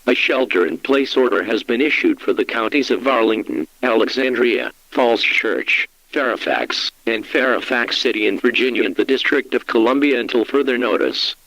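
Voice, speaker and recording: A man's voice: medium pitch, moderate pace, hardly any pauses, a bit bright, no room sound, close to an everyday voice, poor audio. A man plays an emergency alert announcer with a mid-range male voice in a dry, close sound. He delivers scripted official notices in an impersonal, solemn manner. The phrasing is formal and instructional.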